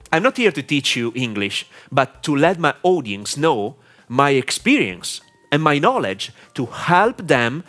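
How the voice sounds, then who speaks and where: A man with an Italian accent, whose first language is Italian, giving a deliberate, clear instructional talk to camera with frequent "uh" fillers. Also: medium pitch, a bit bright. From Italy. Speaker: online marketing mentor.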